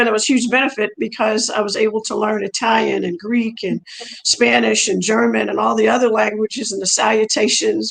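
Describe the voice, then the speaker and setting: A woman's voice: mid-range voice, gravelly, low-quality recording. A middle-aged woman whose first language is French, a track coach telling her story conversationally as an interview guest in a chatty, rambling way that repeats phrases for emphasis.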